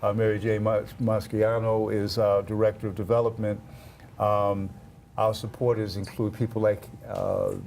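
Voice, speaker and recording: A middle-aged man answering interview questions in a measured, halting conversational way. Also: fairly low pitch, flat delivery, vocal fry, darkish tone. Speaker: arts organization leader, interview guest. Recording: faint background noise.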